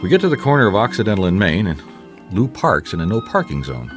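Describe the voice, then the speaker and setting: An actor playing a wry, resourceful private detective in a noir radio drama, with a colloquial, hard-boiled way of talking. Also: male voice, lowish voice, huge pitch swings, fast, soft background music. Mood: intent narrating. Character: wry, resourceful male private detective narrating his case. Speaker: lead actor and narrator. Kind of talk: noir radio drama acting and first-person narration.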